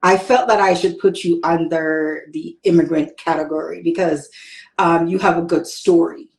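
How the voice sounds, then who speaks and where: A woman's voice: fairly low pitch, steady tone, normal speed, vocal fry, darkish tone, echoey room. A woman hosting her own interview show, chatty and meandering, often restarting her sentences. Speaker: talk show host. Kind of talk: casual interview chat.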